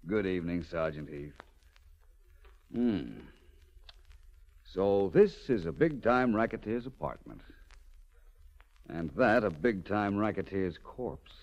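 An actor playing a suave, self-assured detective in a 1940s radio drama, with an urbane, wry, theatrical delivery. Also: male voice, low-pitched, lively intonation, slow pace, long pauses, a little mellow, heavily acted. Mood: wry, brisk. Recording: dull, lo-fi 1940s radio recording.

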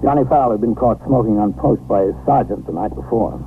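A man playing a composed, authoritative American precinct captain, measured and terse, in a scripted 1950s radio drama. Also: lowish voice, natural voice. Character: composed, authoritative police precinct captain narrating his shift. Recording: noisy, narrow 1950s radio recording.

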